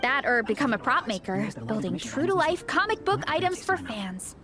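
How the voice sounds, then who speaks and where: A sporty, confident young woman from a video game, with a very high voice. She talks sincerely and supportively, like a keen teammate, with music clearly behind her. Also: animated, normal speed. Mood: thoughtful. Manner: encouraging, upbeat, sincere.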